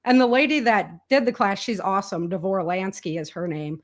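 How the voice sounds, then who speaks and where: A woman's voice: medium pitch, brisk. A woman speaking as an enthusiastic, chatty interview guest, in a casual conversational style. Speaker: interview guest, indie author and podcaster.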